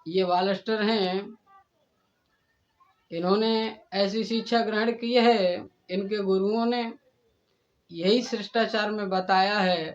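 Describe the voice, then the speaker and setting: Guy with a pretty high voice lecturing and scolding someone like hes giving a speech, muffled lofi sound. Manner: scolding, indignant, oratorical with repeated direct address. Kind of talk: admonishing monologue addressed to a rival and the community.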